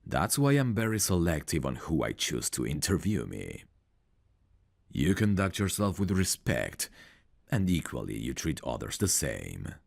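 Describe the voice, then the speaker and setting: A man playing a big, confident, flirtatious champion fighter in an intimate ASMR audio roleplay, speaking in a deliberate, teasing manner. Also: extremely low pitch, gravelly, no echo, studio quality, heavily acted. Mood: guarded, candid. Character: big confident champion fighter, smooth, flirtatious, guarded about his past. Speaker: voice actor.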